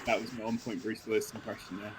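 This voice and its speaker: A man's voice: fairly low pitch, little pitch movement, fast. A man with a British accent co-hosting a game playthrough with slangy, joking banter. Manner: slangy, jokey. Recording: clear background noise, keyboard clicks.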